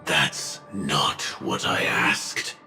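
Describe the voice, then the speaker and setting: An actor playing a grim, fatalistic armored warrior in a video game, speaking in solemn, cryptic declarations. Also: male voice, extremely low pitch, lively intonation, drawn-out words, pushed and bright, heavily acted. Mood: cold, probing. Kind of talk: dramatic video game voice acting. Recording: echoey voice over background music. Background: music.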